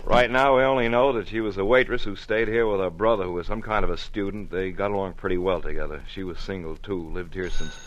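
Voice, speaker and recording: A calm, factual police lieutenant, as a man plays him in a 1950s radio play. His voice sits on the low side and has a dull, muffled old-broadcast sound. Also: quick pace, hardly any pauses, a little hiss or hum, close to an everyday voice. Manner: matter-of-fact, easygoing. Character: steady male police lieutenant, quiet and factual.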